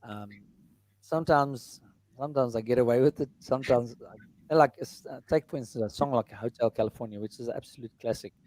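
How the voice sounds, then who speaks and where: A middle-aged man with a baritone voice, talking casually about his music in an interview, rambling with many fillers and restarts. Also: brisk, a little mellow, no echo, low-quality recording. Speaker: musician and entertainer.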